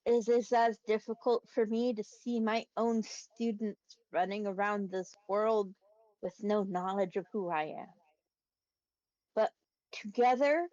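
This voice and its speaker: A woman voicing a small, solemn rodent teacher who speaks in measured, formal, fatherly phrases in a tabletop game. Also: medium pitch, darkish tone, no room sound, muffled recording, natural voice. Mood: gently consoling.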